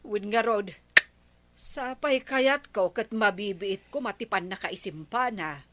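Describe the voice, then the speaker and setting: Woman acting village wife, bright clear-cut voice, medium pitch, Ilocano accent, conciliatory, comedy radio drama. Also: measured pace, close to an everyday voice. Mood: practical. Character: patient village wife soothing her husband.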